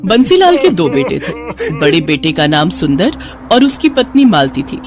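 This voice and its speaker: A woman's voice: low-pitched, very animated, moderate pace. A woman reading scripted narration for a moral story.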